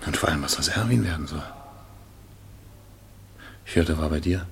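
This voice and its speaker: An actor playing a plain-spoken, consoling male workmate in a German radio crime drama, with a gravelly voice. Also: extremely low pitch, moderate pace, a little hiss or hum. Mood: reassuring. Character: steady, consoling male workmate of the dead husband.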